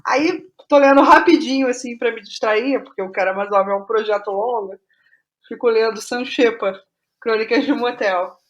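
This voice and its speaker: A Brazilian woman speaking as a guest playwright in a casual interview, digressive and halting, often restarting her words. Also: a little high, fairly bright tone, poor audio.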